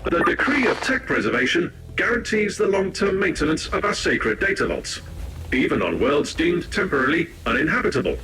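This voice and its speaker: Pedantic, high-pitched, swooping tech-priest. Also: male voice, big pitch swings, brisk, very noisy. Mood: clinical, explanatory. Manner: formal, clinical, clipped data-report phrasing. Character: pedantic male tech-priest, half-machine data analyst. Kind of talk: video game cutscene acting.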